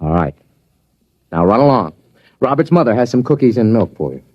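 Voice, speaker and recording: A man's voice: medium pitch, sing-song, fairly quick, no echo. Earnest father-narrator. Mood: firm. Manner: thoughtful, storytelling narration. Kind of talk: 1950s radio drama acting. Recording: muffled old radio broadcast, faint music.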